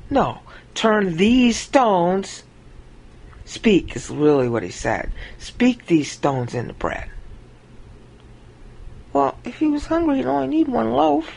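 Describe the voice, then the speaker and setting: A woman giving an informal Bible teaching monologue over a screen recording, acting out quoted lines with big jumps in pitch. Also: big pitch swings, slow, creaky, long pauses, a little mellow, low-quality recording.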